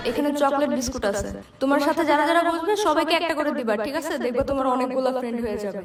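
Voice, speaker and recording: Woman acting a brisk, strict cartoon schoolteacher. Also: high-pitched, a crowd in the background, natural voice. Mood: instructing. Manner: bossy, instructing children. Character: strict, scolding female primary school teacher. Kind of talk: cartoon dialogue.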